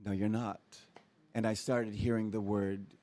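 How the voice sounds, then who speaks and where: A man raised in Japan giving a guest sermon, reading scripture and telling his own story in an animated, storytelling way with asides. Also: on the low side, unhurried. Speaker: guest speaker.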